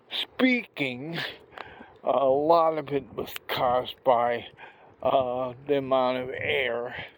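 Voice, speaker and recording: A man with a gravelly voice from a stroke, talking slowly and haltingly in a casual vlog while out walking, recorded on a handheld phone. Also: medium pitch. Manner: rambling, many pauses and restarts. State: recovering from a stroke, slow effortful speech. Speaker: vlogger. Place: outdoors on a walk.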